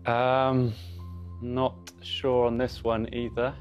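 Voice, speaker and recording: A man's voice: medium pitch, little pitch movement, slow pace, faint music behind. A man in his thirties, a quiz contestant who thinks aloud hesitantly with plenty of ums. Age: 30s. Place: television studio.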